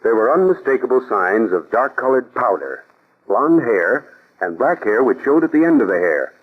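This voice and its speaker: An eager young male assistant agent in a vintage radio drama. He reports briskly in a husky, mid-pitched voice. The sound is thin and narrow, like a telephone line. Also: very animated. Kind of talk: 1940s radio acting.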